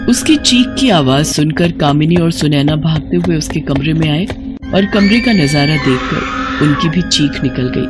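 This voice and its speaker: A woman's voice: deep voice, lively intonation, normal speed, music in the background. A woman reading a scripted horror story as a dramatic narrator.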